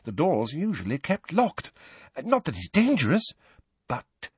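A man's voice: a little high, huge pitch swings, unhurried, breathy edge, no room sound, voice pushed up, exaggerated voice. A man voicing a kindly, motherly woman healer in an audiobook, chatty and confiding as she soothes and fusses. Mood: confiding, fond.

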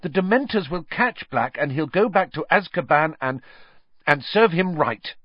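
A man's voice: animated, moderate pace, slightly rough, no echo, muffled recording. A man doing an anxious, bossy schoolgirl. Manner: high, panicky and insistent.

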